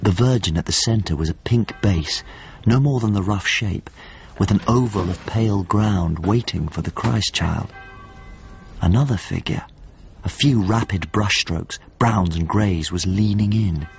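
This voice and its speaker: A male actor playing the grave narrator of a radio drama, gravelly, measured and solemn as he describes things in literary prose. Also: on the low side, dull audio, slight background noise. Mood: solemn, observant. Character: grave male narrator recalling paintings and past events.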